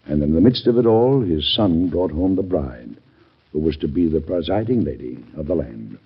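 A male narrator of classic radio drama with a very deep voice. He tells the story gravely and deliberately. The recording is dulled, like an old broadcast. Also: expressive, lo-fi sound. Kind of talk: 1940s radio scripted narration.

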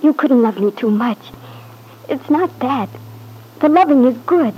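An actress playing a devoted, anxious young wife in an old-time radio drama, pleading earnestly. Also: female voice, high voice, lively intonation, measured pace, highs cut off, slight background noise. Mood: tender, troubled. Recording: husky-sounding vintage radio recording.

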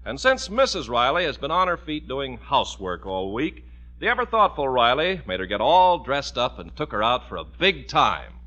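A man's voice: mid-range voice, big pitch swings, normal speed, bright, forceful tone. A man with an American accent announcing an old-time radio show in a polished, emphatic, scripted style. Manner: polished, emphatic, spells out brand name. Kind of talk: 1940s radio announcing, sponsor plug and scripted narration. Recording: muffled vintage radio broadcast. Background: music.

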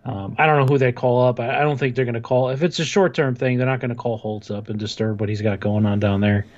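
A man's voice: medium pitch, steady tone, fast, darkish tone, dull audio. A man co-hosting a podcast, chatting casually about sports. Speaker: podcast co-host.

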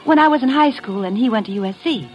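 Lively, charming, coy hostess wife played by a woman. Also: fairly high pitch, fast, muffled recording, faint music behind, natural voice. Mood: bright hostess cheer. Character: nervous, charming scheming society wife and hostess. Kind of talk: old-time radio drama acting.

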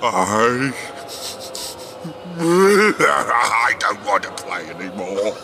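An actor playing a vain, easily wounded dog poet in a comedic audio drama, reciting in a theatrical, ponderous way. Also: male voice, on the low side, animated, drawn-out words, gravelly, bright, forceful tone, slight background noise, full character voice. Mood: distraught.

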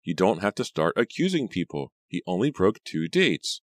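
Man voicing a smug, teasing teen girl, pitched up. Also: big pitch swings, moderate pace, no echo, studio quality. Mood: smug, breezy. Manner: sarcastic, mock-innocent, toying. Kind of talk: audiobook character dialogue.

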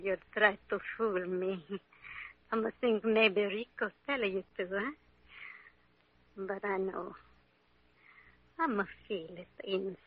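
Ailing Italian mother, middle-aged, gentle, loving, slow broken English, wistful, radio drama, muffled dry recording. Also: medium pitch, slightly rough. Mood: resigned, tender. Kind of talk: old-time radio drama acting.

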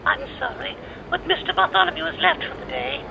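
A woman's voice: high voice, brisk, creaky, noisy background. An actress playing a polite embassy switchboard operator in a radio drama. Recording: dull, lo-fi telephone sound.

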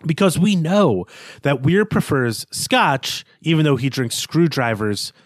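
A man's voice: fairly high pitch, lively intonation, a little slow, no echo, studio quality. A man co-hosting a podcast, talking through a literary analysis in a thoughtful, explanatory, conversational way. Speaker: podcast co-host.

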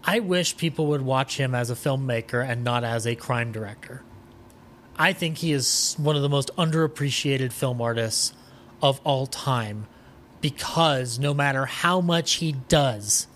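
A man's voice: medium pitch, a bit bright. A man chatting casually as a podcast guest, rambling with enthusiasm and swearing now and then, with some noise behind him. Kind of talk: casual interview chat.

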